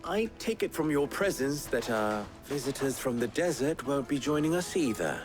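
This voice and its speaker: A man's voice: medium pitch, big pitch swings, moderate pace, close to an everyday voice. Man acting an arch, theatrical, swooping leader. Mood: smug, teasing. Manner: arch, teasing, formal. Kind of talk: video game cutscene acting. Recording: game dialogue over music.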